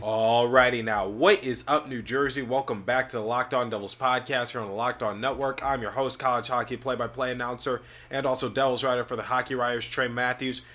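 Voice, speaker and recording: A man's voice: medium pitch, fairly even intonation, brisk, hardly any pauses, fairly bright tone. A man with a sore, slightly scratchy throat, hosting a sports podcast in a rambling, chatty way with many fillers. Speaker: podcast host. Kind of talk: casual sports podcast talk.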